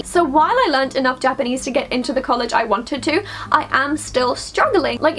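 A woman's voice: high voice, fairly bright tone, slight background noise. A young woman whose first language is Swedish, chatting casually to camera from a small bedroom, full of fillers. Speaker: vlogger.

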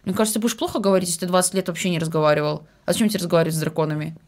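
Young woman, quick talker, casual reaction chat, unscripted commentary. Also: fairly low pitch, fairly even intonation, a little mellow. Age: young adult. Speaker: reaction video co-host.

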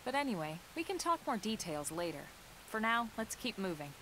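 A woman's voice: medium pitch, sing-song, fairly quick, sharp tone, a little hiss or hum, rough recording. An actress playing a cool, confident young female soldier in a video game, speaking in a composed, conversational way. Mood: calm, businesslike. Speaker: video game character voice actor. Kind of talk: scripted video game cutscene acting. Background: background music.

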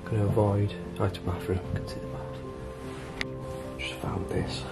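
A man's voice: on the low side, a little slow, creaky, loud music behind the voice. A man in his thirties from England, talking casually and exclaiming in awe as he explores an abandoned house. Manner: excited, awestruck exclamations while walking around. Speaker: urban explorer vlogger. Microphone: handheld camera mic.

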